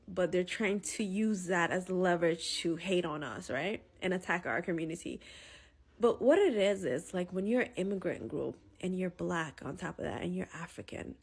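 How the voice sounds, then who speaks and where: A woman's voice: fairly low pitch, breathy edge. A woman giving a casual, opinionated social media monologue, conversational and emphatic, repeating her points.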